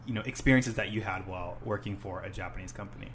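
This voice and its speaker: Low-voiced man chatting, lots of fillers. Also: quick pace, fairly bright tone, a little hiss or hum. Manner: rambling, lots of fillers like um and you know. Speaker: host, English teacher. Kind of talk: casual chat.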